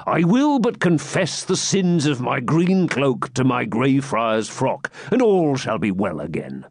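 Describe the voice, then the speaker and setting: A man voicing a boisterous, blustering friar in an audiobook, with booming, drawn-out archaic speech. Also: big pitch swings, breathy edge, no echo, clean studio sound, heavily acted. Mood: jovial. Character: boisterous, blustering hermit friar, pious fraud and brawler. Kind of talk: audiobook character voice.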